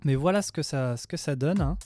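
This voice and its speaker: Casual higher-pitched man in his thirties. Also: brisk, over loud music. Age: 30s. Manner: informal, slangy, hesitant. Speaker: music production tutorial host. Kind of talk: casual tutorial chat. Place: small home studio room, indoors. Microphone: close studio mic on boom arm.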